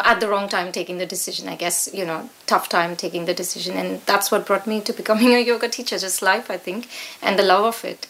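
A woman's voice: medium pitch, fairly bright tone, rough recording. A middle-aged woman with an Indian accent, talking conversationally as an interview guest. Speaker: guest, yoga teacher.

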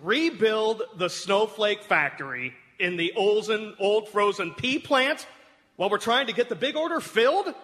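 A fussy, excitable factory worker in a radio comedy. His voice is extremely high and sharp, swooping with drama, in a reverberant hall. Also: moderate pace. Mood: fussy, indignant. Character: excitable, fretful small-town snowflake factory worker. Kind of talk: comic radio theatre acting. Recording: reverberant hall stage recording.

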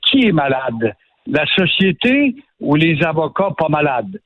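A man's voice: fairly high pitch, very animated, measured pace, no echo. Sarcastic Quebec man over a phone line. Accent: Quebec French. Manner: sarcastic, indignant. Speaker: radio commentator. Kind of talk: opinionated radio chat. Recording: phone-line radio audio.

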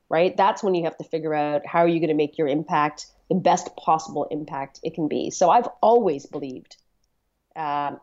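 A middle-aged woman from Canada who talks in a low, earnest voice. She often hesitates and repeats words as she reflects on her story. The sound is slightly muffled and lo-fi. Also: a little mellow. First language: Swahili. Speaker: interview guest. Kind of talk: reflective conversational interview.

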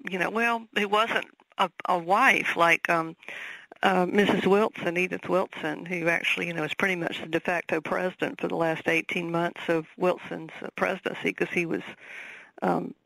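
A woman's voice: fairly low pitch, fairly even intonation, normal speed, gravelly, a bit bright, dry recording, rough recording. A woman with a Southern accent, an author speaking as a radio interview guest, thoughtful and full of fillers and restarts.

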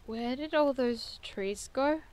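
A woman's voice: sits high, slow pace, darkish tone, a little hiss or hum. An actress playing a curious, absorbed young woman adventurer in an audio drama, with a hesitant, studious way of talking. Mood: distracted.

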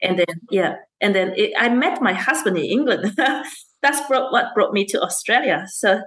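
A woman speaks at a middle pitch. She is a teacher answering interview questions. She talks hesitantly, with many fillers, restarts and frequent laughs. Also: lively intonation, moderate pace, a bit bright. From Malaysia. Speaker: STEM teacher and councillor.

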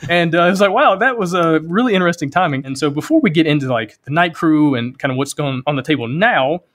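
A man hosts an interview podcast and talks quickly in a slightly high voice. He asks his questions with eager, encouraging enthusiasm. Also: expressive, fairly bright tone. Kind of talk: casual interview chat.

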